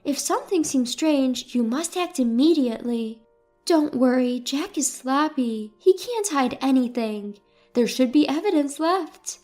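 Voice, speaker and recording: Lady doing a sharp older mother-in-law in an audio story, high voice, wry and sure of herself. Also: lively intonation, a little slow, soft background music. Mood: brisk, confident.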